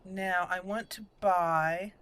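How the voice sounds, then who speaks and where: A woman's voice: on the low side, steady tone, takes it slowly, clear, resonant tone. Chatty woman narrating her gameplay. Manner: chatty, thinking aloud, laughs often. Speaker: gaming channel host. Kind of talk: casual let's-play commentary. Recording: voice-over over loud game music. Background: game music.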